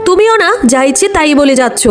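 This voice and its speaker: Sour, sarcastic cartoon mother, woman performer: high voice, grumbling delivery, music clearly behind. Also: expressive, quick pace. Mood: reproachful. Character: sour, nagging village aunt and mother. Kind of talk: cartoon dialogue.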